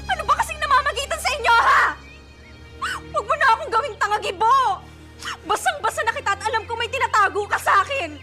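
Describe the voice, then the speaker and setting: A woman's voice: extremely high pitch, expressive, fast, bright, forceful tone, faint music behind, full character voice. An actress playing a hot-tempered, betrayed young pregnant woman in a Filipino radio drama, with a Filipino accent and emotional, accusing delivery. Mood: angry.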